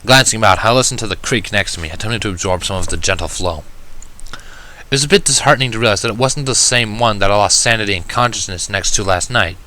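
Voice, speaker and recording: A man narrating a first-person story in an audiobook style, reading in a measured, wry manner. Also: on the low side, bright, forceful tone, poor audio. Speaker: fan fiction reader.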